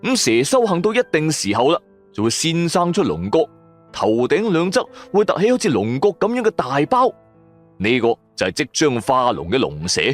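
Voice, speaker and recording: A man narrating a supernatural story in an expressive, suspenseful way. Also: medium pitch. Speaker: radio drama storyteller. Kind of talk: dramatic first-person supernatural story narration. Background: background music.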